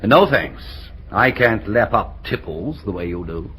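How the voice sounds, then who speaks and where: An actor playing a suave middle-aged suitor, courtly and florid, in an old radio comedy-drama. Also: male voice, lowish voice, lively intonation, measured pace. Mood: dry, needled. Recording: hissy old radio recording.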